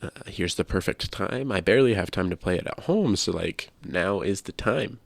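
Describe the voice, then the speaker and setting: A man with a creaky voice co-hosting a casual video game podcast, chatting conversationally. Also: expressive, moderate pace, no echo. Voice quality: low creaky voice. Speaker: podcast co-host. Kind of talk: casual podcast chat about video games.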